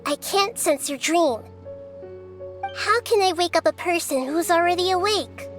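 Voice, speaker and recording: Small wise goddess: very high, calm, measured reasoning; soft game music under. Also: female voice, heavily acted. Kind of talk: video game voice acting.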